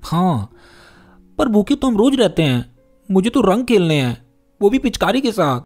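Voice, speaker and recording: A man's voice: sits high, big pitch swings, normal speed, darkish tone, soft background music, voice pushed up. A man voicing a poor, wistful little boy in a story, plaintive and pleading.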